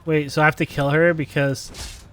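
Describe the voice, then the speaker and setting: A man's voice: fairly high pitch, little pitch movement, normal speed, a bit bright, slight background noise. A man streaming a game, giving casual commentary and reacting to the story as he plays. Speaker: gameplay streamer.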